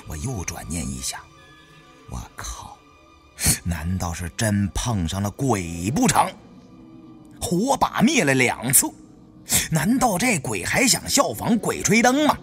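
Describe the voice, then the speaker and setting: A man narrating a suspense novel in the first person with expressive, dramatic storytelling. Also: mid-range voice, slow, long pauses, soft background music. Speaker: audiobook narrator.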